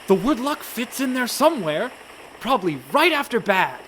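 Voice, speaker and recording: High-voiced anxious reluctant con man. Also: big pitch swings, normal speed, fairly bright tone, a little hiss or hum, heavily acted. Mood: gloomy worried. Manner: fretful, dry protests. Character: anxious, reluctant young-sounding con man, male, indignant worrier. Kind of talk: comic radio play acting.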